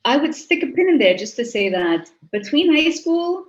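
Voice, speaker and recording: A woman's voice: sits high, very animated, normal speed. A middle-aged Trinidadian woman, a guest in an interview, speaking reflectively with frequent restarts. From Trinidad and Tobago. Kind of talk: interview conversation. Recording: slightly muffled audio.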